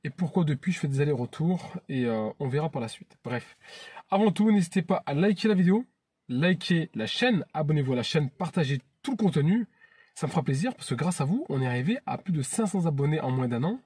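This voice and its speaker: A man's voice: on the high side, animated, fairly quick, darkish tone, breathy edge, dry recording. A man in his thirties from France, hosting his own channel in an earnest, chatty vlog monologue that often restarts phrases. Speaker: YouTube channel host.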